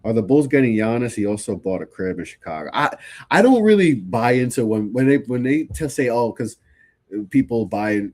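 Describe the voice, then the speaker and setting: A man's voice: medium pitch, sing-song, normal speed, a little mellow. A man in his thirties hosting a casual live-stream sports talk show, sounding stuffy from a cold and speaking in a loose, conversational way with frequent restarts. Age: 30s. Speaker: podcast host.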